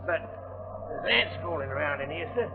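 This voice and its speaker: A man playing an excitable, agitated young army corporal in 1950s radio drama. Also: on the high side, normal speed, gravelly. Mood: panicky complaint. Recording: noisy, muffled vintage radio.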